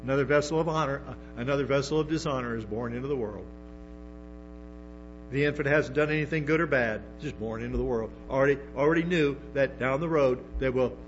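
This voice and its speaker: A man preaching a sermon to a congregation, stumbling through restarts and tossing in emphatic asides. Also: mid-range voice. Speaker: pastor. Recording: dull lo-fi sermon recording with music and hum.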